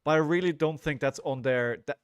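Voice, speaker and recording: A man's voice: fairly high pitch, fairly quick, clear, resonant tone, no echo. A man in his forties giving casual, rambling live commentary on a card game, thinking aloud and chatting to viewers. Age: 40s. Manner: rambling, thinking aloud, frequently checking in with "right?".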